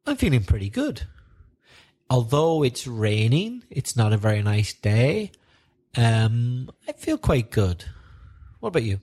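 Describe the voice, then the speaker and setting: A man's voice: mid-range voice, big pitch swings, measured pace, darkish tone, breathy edge. A man co-hosting an English-learning podcast, chatting in a friendly, encouraging way. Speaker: podcast co-host, English teacher. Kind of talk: teaching podcast chat.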